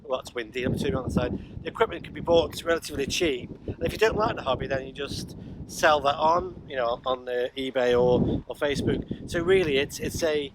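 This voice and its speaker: A man's voice: medium pitch, brisk. A middle-aged man talking casually and a little ramblingly in an informal vlog, recorded on location with some wind noise. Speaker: amateur radio vlogger. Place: windy high ground, in and around a parked car. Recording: windy outdoor noise, clicks.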